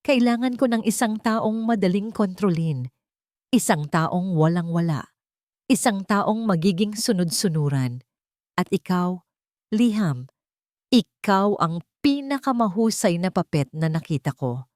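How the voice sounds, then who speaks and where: A woman's voice: mid-range voice, animated, a little slow, darkish tone, no room sound, studio quality, natural voice. A woman with a Filipino accent voicing a scheming, venomous rich female villain in a dramatic audio storybook. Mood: cold scorn. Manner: menacing, taunting, calculated.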